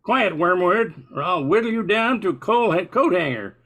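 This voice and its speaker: Man playing grumbling old comedian, high male voice, florid, sardonic, mock-threatening, radio sketch. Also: lively intonation, moderate pace, a bit bright, heavily acted.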